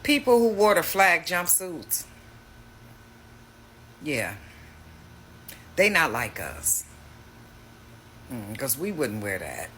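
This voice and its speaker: A man's voice: on the high side, very animated, slow pace, long pauses, sharp tone, a little hiss or hum. A man hosting a reaction video, talking casually in a mocking, slangy way.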